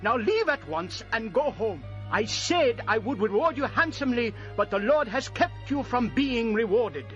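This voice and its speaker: A man's voice: very high voice, expressive, normal speed, few pauses, fairly bright tone. An actor playing an angry, imperious king in a dramatized audio scripture. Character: angry, exasperated king summoning a prophet to curse. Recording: dull lo-fi audio with music. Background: music.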